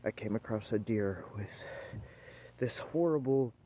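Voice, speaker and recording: A man's voice: fairly low pitch, muffled recording, close to an everyday voice. Man playing an infected ex-company man, uneasy and rambling, horror found footage, noisy handheld camera outdoors. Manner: halting, rambling, repeats himself. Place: outdoors in woods, walking. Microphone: handheld video camera.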